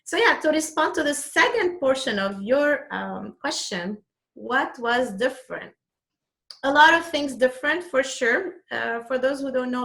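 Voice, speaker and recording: Higher-voiced Lebanese-accented woman. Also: fairly bright tone. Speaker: interview guest. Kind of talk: conversational interview answers.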